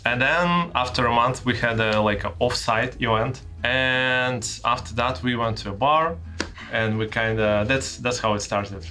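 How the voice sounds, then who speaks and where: A young man talks as a guest on a casual panel. His voice is bright and forceful. He tells his stories in halting bits and restarts his sentences often. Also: medium pitch, fairly even intonation. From Russia.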